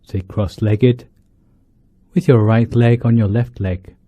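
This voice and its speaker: A man, a monk leading a guided meditation, speaking in deliberate short phrases with pauses between them. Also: lowish voice, fairly even intonation, dark tone. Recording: dull lo-fi, roomy echo.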